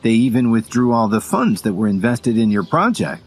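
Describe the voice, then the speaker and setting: Audio drama lead, acted by a man: young male hero, composed, defiant, expressive intonation, faint music behind. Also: medium pitch, moderate pace, husky. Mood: cool, confident.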